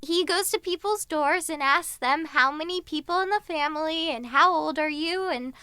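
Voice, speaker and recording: A woman's voice: sits high, moderate pace, pushed and bright, no room sound. A woman playing a cheeky, pestering little girl in an old-time radio comedy, chattering and stubborn. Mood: cheeky, matter-of-fact. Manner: chattering, stubborn, repeats herself.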